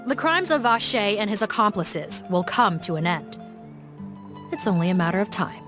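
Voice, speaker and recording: A woman voicing a composed police officer in a video game, reporting in a calm, matter-of-fact way. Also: medium pitch, moderate pace, close to an everyday voice. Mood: firm. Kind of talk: video game dub dialogue. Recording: game cutscene with music beneath. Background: music.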